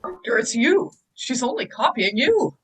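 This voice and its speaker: A woman's voice: on the high side, very animated, normal speed, husky. A woman playing a stern, sceptical male professor who challenges others in an amateur table read. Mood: challenging. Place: remote video call.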